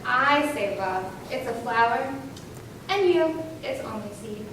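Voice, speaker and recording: A woman performs a dramatic reading on stage in a fairly high voice. She is heard from a distance in a very reverberant space. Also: lively intonation, slow pace, a bit bright. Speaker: readers theater performer. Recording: very echoey hall, distant mic, hissy noise.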